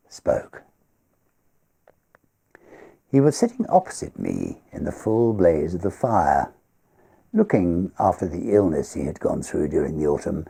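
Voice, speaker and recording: A man with a husky, gravelly voice reads a literary ghost story aloud in a theatrical, measured way. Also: low voice. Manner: theatrical, measured, expressive phrasing. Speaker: story reader.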